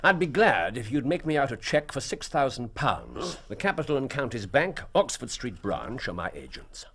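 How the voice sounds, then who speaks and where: An English actor playing a shrewd, commanding Victorian detective in a radio drama, with clipped, emphatic theatrical delivery. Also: male voice, medium pitch, very animated, moderate pace, creaky, fairly bright tone, husky, full character voice. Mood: coolly assertive. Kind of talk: British period radio drama acting.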